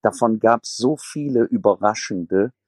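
A man speaking as a podcast guest, talking slowly and thoughtfully in a reflective conversation. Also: lowish voice, darkish tone, dry recording, studio quality.

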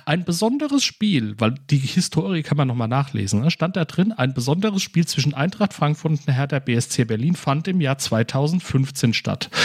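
A man's voice: on the high side, a little mellow, husky. A man co-hosting a podcast in casual chat, often tacking little tag questions onto his sentences. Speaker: podcast co-host.

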